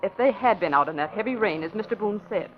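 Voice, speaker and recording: A woman plays an earnest young reporter of an old radio drama, her pitch rising and falling with lively eagerness. The sound is dull and lo-fi with a faint hiss behind. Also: quick pace, close to an everyday voice. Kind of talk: 1940s radio acting.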